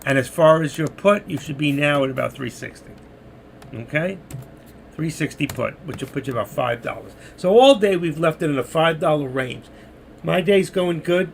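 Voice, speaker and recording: Hoarse gravelly man chatting on stream. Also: fairly high pitch, slight background noise. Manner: rambling, chatty, talking to chat viewers. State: hoarse, losing his voice. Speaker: trading live stream moderator. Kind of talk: casual live stream chat.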